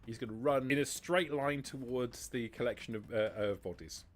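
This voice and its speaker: A man running a tabletop roleplaying game as game master, explaining rules and narrating in halting, self-correcting phrases. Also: mid-range voice. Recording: noisy hobby livestream audio.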